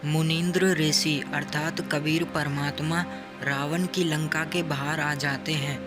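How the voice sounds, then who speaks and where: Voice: mid-range voice, fairly even intonation, a little slow, music in the background. A narrator reading a scripted devotional story.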